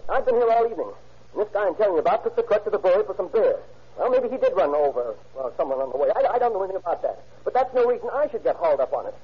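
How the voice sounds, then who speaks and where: A man's voice: high-pitched, very animated, rapid-fire, slightly rough, full character voice. An actor playing a nervous male suspect who stammers and keeps insisting he is innocent, in 1940s radio style. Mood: pleading. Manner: jittery, stammering, protesting his innocence. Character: nervous pleading male truck owner suspect. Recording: muffled old radio recording.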